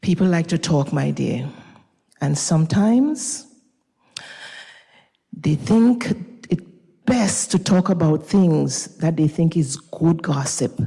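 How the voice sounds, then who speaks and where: An old Grenadian mother, played by a woman on stage, wise and consoling. Her voice is husky and swings expressively as she takes her time over each phrase, ringing in a reverberant recital hall. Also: low-pitched, expressive, slow pace, long pauses, dark tone, heavily acted. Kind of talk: dramatized stage reading of fiction.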